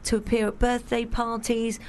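A woman's voice: fairly high pitch, flat delivery, moderate pace, darkish tone, slight background noise. A woman hosting a radio chat in the studio, questioning her guest in a playful, teasing way. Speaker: host. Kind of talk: casual chat.

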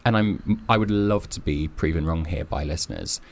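A man's voice: very deep voice, moderate pace, gravelly. A man co-hosting a casual podcast chat, making his case emphatically and at length. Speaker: podcast co-host.

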